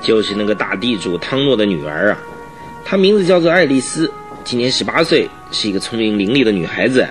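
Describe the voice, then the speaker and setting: An animated, excitable police inspector. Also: male voice, lowish voice, fairly quick, soft background music. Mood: earnest, emphatic. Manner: exclamatory, full of sighs and interjections. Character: excitable, chatty male police inspector, admiring and sighing. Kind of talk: Mandarin radio drama acting.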